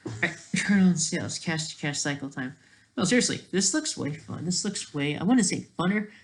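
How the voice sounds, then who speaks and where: A person thinking aloud in casual, rambling, digressive commentary as a video creator, with a creaky voice. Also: mid-range voice, expressive, a little fast.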